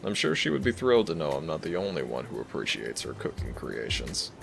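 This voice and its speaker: A man playing a gentle young dragon prince, a devoted boyfriend talking tenderly to the listener in a romantic audio roleplay. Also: a bass voice, wildly swooping pitch, fairly quick, vocal fry, heavily acted. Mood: tender, relaxed. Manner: tender, affectionate, soft-spoken reassurance. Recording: music behind the voice. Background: background music.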